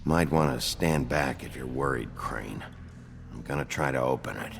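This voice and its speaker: An actor playing a gruff, terse, world-weary detective in a video game, with a gravelly voice and a dry, blunt manner. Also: male voice, moderate pace, in a large hall, noisy background. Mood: dry, focused. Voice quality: very deep, gravelly. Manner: terse, low-key, dry.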